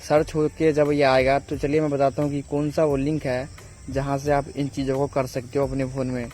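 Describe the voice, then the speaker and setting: A man's voice: mid-range voice, level tone, fairly quick, darkish tone, a little hiss or hum. A man hosts a step-by-step phone tutorial in a repetitive, instructional way with frequent check-in tags. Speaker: tech tutorial host.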